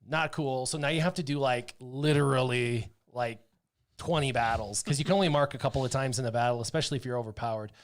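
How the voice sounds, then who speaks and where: A man casually talking through his game setup on a livestream, chatty and excitable, with lots of "um" and "like". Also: mid-range voice, quick pace. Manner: chatty, excitable, full of fillers like "um" and "like". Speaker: streamer playing a mobile game.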